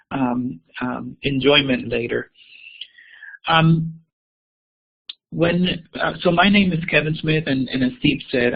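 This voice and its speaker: Keynote speaker, man from Costa Rica, hesitant, husky voice, phone-quality audio. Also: medium pitch. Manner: hesitant, many ums and repeated words. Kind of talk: webinar presentation.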